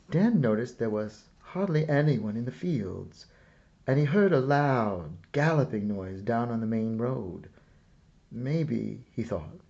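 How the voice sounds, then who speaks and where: Slow, gravelly man reading a storybook. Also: mid-range voice, dark tone, lo-fi sound. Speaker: storyteller reading a picture book aloud. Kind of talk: scripted storybook narration.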